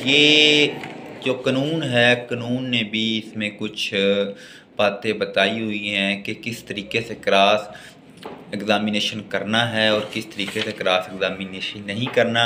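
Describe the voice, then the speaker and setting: A man's voice: on the low side, fairly even intonation, measured pace, pushed and bright, slight background noise. A man with a Pakistani accent giving a law lecture, didactic, moving between word-by-word dictation and explanation. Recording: echoey room.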